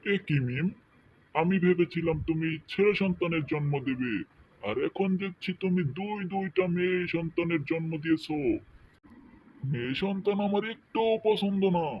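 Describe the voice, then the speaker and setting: A man's voice: sits high, muffled recording, a little hiss or hum, close to an everyday voice. A man voicing a stern village husband in a cartoon tale, emphatic and scolding as he demands a son. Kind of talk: cartoon fairy-tale voice acting.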